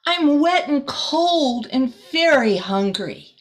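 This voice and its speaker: A tiny, sensitive girl from a children's storybook, voiced by a woman reading aloud. The voice sits high and is openly expressive. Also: slow pace. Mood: shivering, plaintive.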